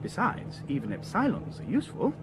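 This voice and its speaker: Complacent young man for radio drama: high, animated, noise behind. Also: fairly quick. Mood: assured. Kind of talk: BBC radio drama acting.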